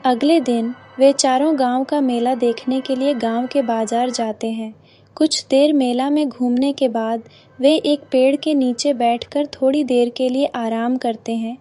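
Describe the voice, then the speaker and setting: A female narrator reads a scripted animated horror story. Her voice is very high in pitch, and music is clearly present behind her. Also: unhurried.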